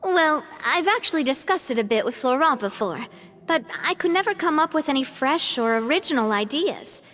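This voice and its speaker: A woman's voice: high voice, moderate pace, a little hiss or hum. A woman playing a confident, cheerful and gracious young lady boss in a video game, speaking in an encouraging way. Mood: thoughtful. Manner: bright, encouraging, animated.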